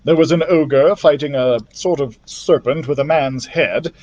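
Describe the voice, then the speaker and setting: A man's voice: a little high, a little slow, a little mellow, highs cut off. A man reading a children's adventure story aloud as a library storytime reader, with careful, dramatic phrasing. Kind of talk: expressive scripted storybook narration.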